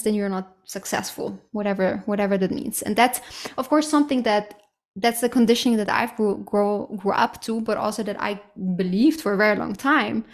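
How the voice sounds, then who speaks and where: A woman in her thirties hosting a solo podcast, talking candidly and casually, with frequent fillers and the odd swear word. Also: fairly high pitch. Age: 30s. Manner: candid, casual and lightly profane, with frequent "like" and "right?". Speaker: podcast host. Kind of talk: reflective solo podcast monologue.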